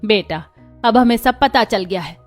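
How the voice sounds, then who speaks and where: A woman's voice: medium pitch, moderate pace, close to an everyday voice. A woman voicing a caring, indignant middle-aged mother-in-law in a melodramatic cartoon family drama, earnest and emphatic. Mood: sorrowful, tender. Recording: music under the voice. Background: background music.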